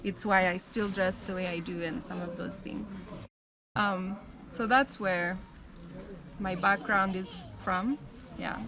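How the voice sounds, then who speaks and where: A young American woman telling her life story in a relaxed, chatty way, with frequent "um" and "like". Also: mid-range voice, slow pace, background noise. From United States. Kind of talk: casual storytelling.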